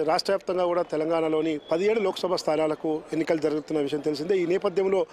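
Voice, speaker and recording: A man giving a live political news report in a halting, repetitive way, stumbling over his words. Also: high-pitched, darkish tone. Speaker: field reporter.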